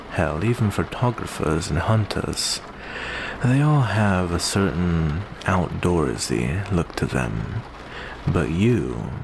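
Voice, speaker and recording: Man playing a low, unhurried vampire gentleman. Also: exaggerated voice. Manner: unhurried, genteel, uses endearments. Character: courteous, smooth male vampire host with hidden predatory menace. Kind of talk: ASMR roleplay, soft close monologue. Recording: clear steady background noise.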